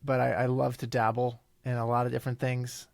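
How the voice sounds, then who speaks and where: A man's voice: mid-range voice, monotone, brisk, vocal fry, no echo, studio quality. A man in his thirties, raised in Italy with Italian as his first language, talking as a podcast guest in a thoughtful, rambling way with restarts. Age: 30s. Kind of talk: casual interview chat.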